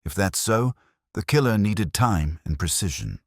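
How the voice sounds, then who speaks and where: Male narrator as cool analytical detective, very deep, clipped, formal, probing, audiobook mystery. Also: expressive, a little slow, vocal fry, no echo, studio quality, full character voice. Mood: measured, analytical.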